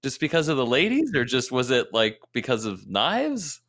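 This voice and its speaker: A man's voice: medium pitch, wildly swooping pitch, moderate pace, fairly bright tone, no room sound, studio quality. A man hosting a casual podcast interview, joking and asking questions with lots of fillers. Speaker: podcast host.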